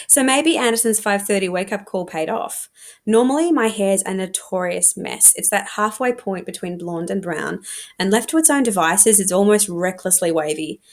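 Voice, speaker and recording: A woman reading a young adult novel aloud like a teacher, in an informal, expressive storytelling manner. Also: medium pitch, moderate pace.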